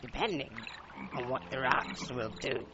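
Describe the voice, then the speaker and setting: A man voicing an eccentric, knowing wizard mentor with a creaky voice and theatrical drawl in a fantasy audio drama. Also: mid-range voice, very noisy, lightly acted. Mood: reassuring. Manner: drawn-out, swooping theatrical delivery. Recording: muffled lo-fi recording under loud music. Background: loud music.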